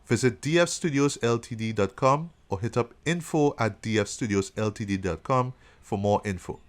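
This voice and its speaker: A man's voice: medium pitch, moderate pace. A man reading a scripted promotional advert as an announcer.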